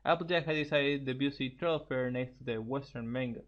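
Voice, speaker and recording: Male amateur story reader, mid-pitched, halting with repeated phrases, muffled lo-fi home mic. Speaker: co-host reading fan fiction aloud. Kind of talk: informal story read-aloud.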